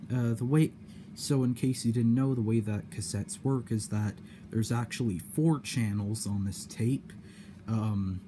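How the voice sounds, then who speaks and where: Slow-paced, hesitant man with noise behind. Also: medium pitch. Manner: hesitant, halting delivery with repeated phrases. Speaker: cassette hobbyist demonstrating a problem. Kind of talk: casual explanatory vlog. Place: indoor room at home. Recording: clear background noise.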